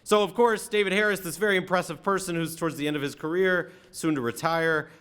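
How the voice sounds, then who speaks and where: An American man from Atlanta speaking as a rabbi, giving a conversational, reflective sermon with frequent halting pauses. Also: on the high side, steady tone, fairly bright tone, clear, resonant tone. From Atlanta, American South. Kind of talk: sermon, conversational talk to congregation.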